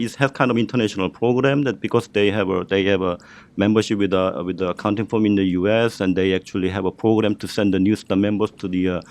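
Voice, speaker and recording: A man's voice: fairly low pitch, darkish tone. A middle-aged man with a Korean accent, telling his career story on a student panel, hesitant and full of fillers and restarts. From South Korea.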